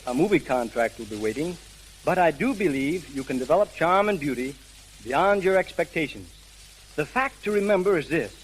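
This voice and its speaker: A man giving scripted advice as a fitness trainer in 1930s radio style. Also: on the high side. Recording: noisy crackling 1930s radio recording.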